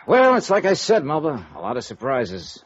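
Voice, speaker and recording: A man's voice: fairly high pitch, huge pitch swings, normal speed, breathy edge, exaggerated voice. A man acting in a vintage radio noir drama as a cool, sardonic investigator with a dry, needling, self-assured manner. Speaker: radio detective lead. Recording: muffled, echoey vintage radio recording.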